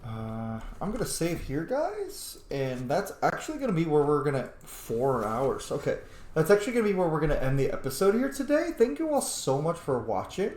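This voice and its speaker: A man's voice: on the high side, huge pitch swings, normal speed, darkish tone, echoey room. A man doing casual let's-play commentary as a gaming host, playful and informal, thinking aloud with some swearing.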